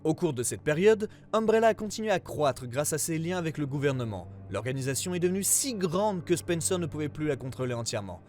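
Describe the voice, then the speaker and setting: High-pitched, expressive male narrator. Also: fairly quick, hardly any pauses, a little hiss or hum. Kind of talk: scripted narration of an investigation report.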